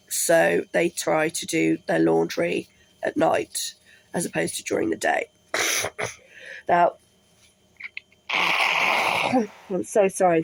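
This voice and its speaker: A woman vlogging casually while congested with a cold, apologetic and rambling, with many ums and pauses. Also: on the low side, gravelly, dry recording, poor audio. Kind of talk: casual talk to camera. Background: washing machine running.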